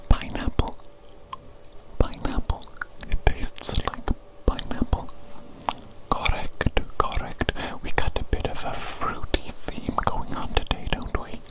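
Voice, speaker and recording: Woman as slow whispering ASMR evil doctor. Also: close to an everyday voice, rough recording. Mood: soothing, playful. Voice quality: breathy unvoiced whisper. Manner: soothing, slow, repeats words and phrases. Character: playfully evil doctor gently examining a big creature patient. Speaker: ASMR performer. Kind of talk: whispered ASMR medical roleplay.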